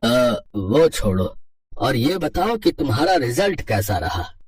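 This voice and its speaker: A man's voice: medium pitch, sing-song, slow pace, breathy edge, recorded from a distance, heavily acted. An actor playing a kindly, protective middle-aged father in a cartoon moral story, hesitant yet reassuring.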